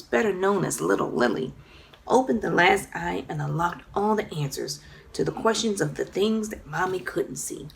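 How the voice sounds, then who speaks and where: Woman, children's book narrator, dramatic read-aloud delivery, medium pitch, noticeable vocal fry. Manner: expressive, dramatic storytelling. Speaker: children's author reading own book.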